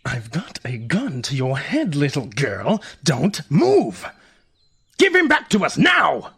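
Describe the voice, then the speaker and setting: Man acting cruel mage brother, audio drama villain, husky, expressive pitch, smug and menacing. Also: takes it slowly, exaggerated voice. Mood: tense, threatening.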